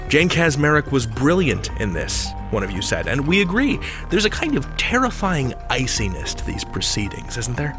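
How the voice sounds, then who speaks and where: Animated male podcast host. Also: medium pitch. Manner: playful, theatrical, slangy. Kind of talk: chatty podcast countdown and commentary.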